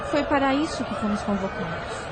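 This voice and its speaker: A woman's voice: a little high, expressive, fairly quick, very noisy, natural voice. A woman with a Brazilian accent playing a solemn spirit helper in an audio drama, speaking in a dramatic, declamatory way. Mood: solemn urgency. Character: solemn spirit helper announcing a world's purge. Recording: loud music and crowd behind.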